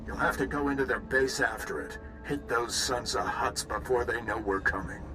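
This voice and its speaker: An actor playing a gruff, pugnacious admiral in a video game, giving orders in a blunt, salty, commanding way. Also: male voice, mid-range voice, moderate pace, big-room sound, music in the background, natural voice.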